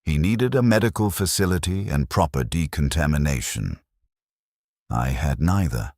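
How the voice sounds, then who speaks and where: Male dramatic narrator: very low register, widely moving intonation, grave and deliberate first-person storytelling, close dry sound. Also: expressive, a little mellow, dry recording. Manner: grave, measured, short clipped sentences.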